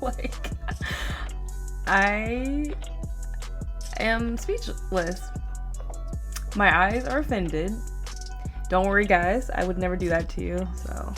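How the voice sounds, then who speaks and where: A woman hosting a casual reaction video, expressive and slangy, full of exclamations. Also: medium pitch, takes it slowly, long pauses, soft background music.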